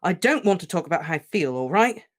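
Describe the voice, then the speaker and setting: A reader voicing a grieving teenage boy wizard, shouting and shaking with rage. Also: mid-range voice, expressive, normal speed, pitched above the performer's own voice, natural voice. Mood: raging. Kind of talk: audiobook character reading.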